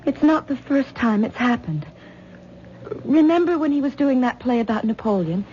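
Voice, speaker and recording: A frightened young wife who trips over her words, with a fairly high voice. The recording is muffled and carries a light hiss, like an old radio broadcast. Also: lively intonation, moderate pace, darkish tone. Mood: tense. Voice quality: stammering, nervous. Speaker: troubled wife. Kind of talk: 1970s radio drama acting.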